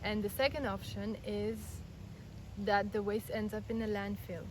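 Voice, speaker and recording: A woman in her thirties from Belgium, raised in France, calmly explaining her views in an interview, earnest and step by step with frequent restarts. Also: mid-range voice, normal speed, poor audio. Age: 30s. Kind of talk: casual explanatory interview answers. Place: outdoors in India. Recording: outdoor recording with clear background noise.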